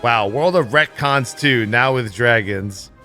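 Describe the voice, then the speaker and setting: A man with a bright, forceful voice commentates casually on a gaming livestream. He is blunt and opinionated and swears freely. Faint music plays behind him. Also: mid-range voice, slow pace. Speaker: livestream host.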